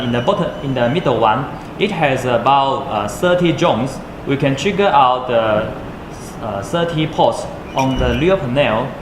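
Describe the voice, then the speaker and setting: A man who speaks English as a second language gives an unscripted product demonstration, haltingly searching for words with uneven grammar. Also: mid-range voice. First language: not English. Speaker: product presenter. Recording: echoey room with clear background noise.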